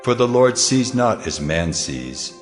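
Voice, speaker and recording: A man's voice: on the low side, sing-song, takes it slowly. A man voicing God as a solemn, commanding ancient authority in a dramatized Bible story. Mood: solemn, admonishing. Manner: solemn, declarative. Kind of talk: dramatized Bible narration acting. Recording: long cavernous reverb, music underneath. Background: music.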